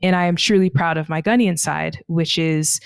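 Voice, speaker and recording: A woman guest on a podcast, telling personal travel stories in an earnest, reflective way and slowing down on the heavy points. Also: lowish voice, steady tone, vocal fry, clean tone, no echo, studio quality. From United States (Texas).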